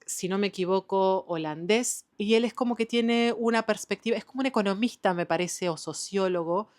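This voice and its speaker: A woman's voice: mid-range voice, normal speed, darkish tone. A woman with an Argentine Spanish accent, chatting enthusiastically about the books she has been reading, with frequent "eh" and "em" fillers. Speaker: book vlogger. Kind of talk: casual book wrap-up chat.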